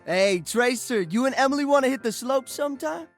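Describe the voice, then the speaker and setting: A man's voice: extremely high pitch, lively intonation, brisk, soft background music. An actor playing an upbeat, positive young male musician in a video game, sunny and enthusiastic.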